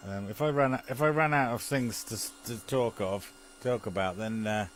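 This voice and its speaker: British male radio ham, lowish voice, informal and meandering, prone to chuckles and mutters, slight hum behind. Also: normal speed. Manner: chuckly, muttering to himself while operating. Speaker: amateur radio TV operator. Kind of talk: rambling casual chat over amateur television link.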